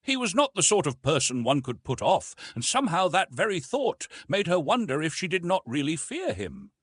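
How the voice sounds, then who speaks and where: A man with a slightly husky voice narrating a classic adventure novel in an expressive, carefully phrased, dramatic storytelling style. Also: a little high, moderate pace, no room sound. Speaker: audiobook narrator.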